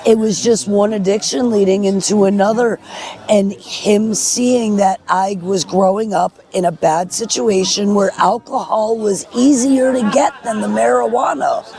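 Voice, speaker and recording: A middle-aged woman with a hoarse voice, giving frank, earnest personal testimony as an interview guest at a festival. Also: medium pitch, unhurried, dark tone. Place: at a festival in a park. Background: music.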